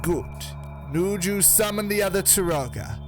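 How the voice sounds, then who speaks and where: A man playing a grave, secretive village elder in an animated fan dub, solemn and deliberate. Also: high-pitched. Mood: solemn, urgent. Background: music.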